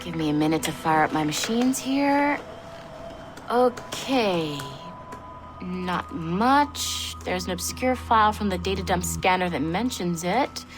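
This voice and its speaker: A woman's voice: fairly low pitch, lively intonation, slow. Wry, sardonic female analyst. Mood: breezy, wry. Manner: casual, sardonic, blunt. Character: wry, skeptical female intelligence analyst, loyal subordinate. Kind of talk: conversational game audio log acting. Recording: music under the voice.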